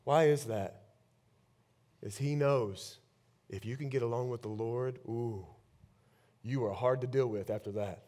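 A man in his thirties preaching a sermon on a large church stage with a headset mic, swinging between loud bursts and hushed lines. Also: medium pitch, a little slow, long pauses. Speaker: pastor.

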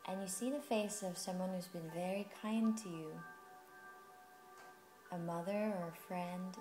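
Woman guiding meditation over music. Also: fairly low pitch. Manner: soothing, unhurried, with long pauses. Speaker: yoga and meditation teacher. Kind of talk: guided meditation. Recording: music playing behind the voice.

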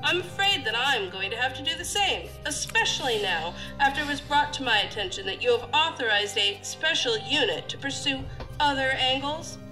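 A woman's voice: high-pitched, animated, normal speed, music in the background, low-quality recording. A woman playing a stern, critical deputy director of a federal agency in an audio drama, formal and authoritative. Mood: stern, disapproving.

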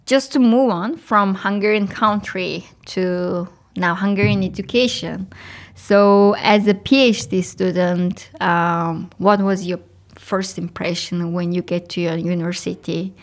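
A woman's voice: mid-range voice, steady tone, measured pace. Hesitant young woman podcast host. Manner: friendly, hesitant fillers. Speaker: podcast host, junior researcher. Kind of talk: hosting interview.

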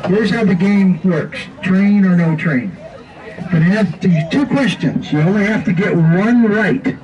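A man's voice: high voice, unhurried, a little mellow, dull audio. Guy conductor doing playful passenger announcements, kinda far from the mic, crowd and noise behind him. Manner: jokey. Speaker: tourist train conductor. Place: outdoors on a small tourist train. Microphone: picked up from afar by passenger's camera. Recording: distant, with outdoor crowd noise.

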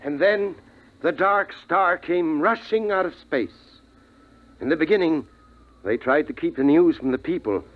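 A man playing a grave, weary middle-aged father, a survivor telling his story solemnly in a 1950s radio drama. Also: a little high. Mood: dramatic, solemn. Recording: thin, phone-like vintage radio recording.

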